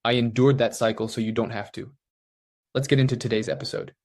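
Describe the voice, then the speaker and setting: A man's voice: mid-range voice, fairly even intonation, brisk, a little mellow. A man hosting the show, reading a scripted promotional intro in direct address to the viewer. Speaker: show host.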